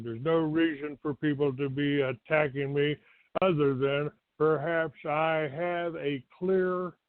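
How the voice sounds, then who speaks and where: An older American man from Texas hosting a talk show, giving a rambling, halting monologue to his listeners. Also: a little high. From Texas, United States. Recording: roomy, tinny phone-like line.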